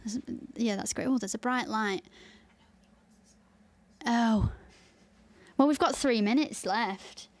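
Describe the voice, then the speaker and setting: A woman's voice: fairly high pitch, slow pace, long pauses. A woman with a Lancashire accent, hosting a podcast in open, chatty talk and laughing easily. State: tired after a 24-hour stream. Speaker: podcast host. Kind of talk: casual chat.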